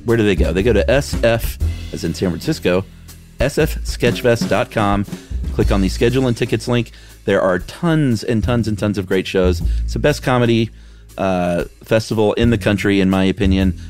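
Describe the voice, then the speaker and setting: A man's voice: on the low side, brisk, a little mellow, soft background music. A man co-hosting a podcast, stuffy from a head cold, talking in an easygoing, self-deprecating way. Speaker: podcast co-host. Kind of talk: casual chat.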